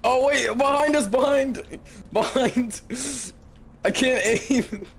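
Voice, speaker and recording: A young man chatting casually while playing an online shooter, excitable and swearing at what happens. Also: extremely high pitch, takes it slowly, a crowd in the background. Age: young adult. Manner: excitable, swears, laughs a lot. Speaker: gamer recording gameplay.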